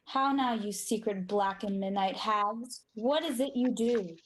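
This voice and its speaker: A woman's voice: mid-range voice, unhurried, slight background noise, lightly acted. A woman playing a proud, demanding tyrant king, declaiming Shakespeare in a radio play.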